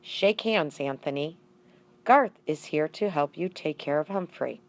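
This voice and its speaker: A woman's voice: low-pitched, lively intonation, measured pace. A woman voicing a kindly, folksy husband and father in a children's story, with emphatic phrasing. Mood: announcing.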